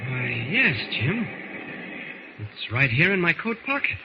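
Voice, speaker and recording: An actor playing a calm, patient, intent reporter hero in a 1940s-style radio serial. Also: male voice, fairly high pitch, takes it slowly. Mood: calm, purposeful. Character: calm, kindly grown-up male reporter hero investigating. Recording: muffled, noisy old radio recording.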